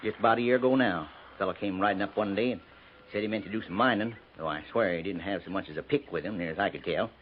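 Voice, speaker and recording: An American actor playing a lonely old frontier horse keeper in a 1950s radio western, talking in a folksy, rambling way. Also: male voice, deep voice, fast talker, close to an everyday voice. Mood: wistful rambling. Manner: folksy, rambling, hesitant drawl. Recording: noisy, tinny 1950s radio recording.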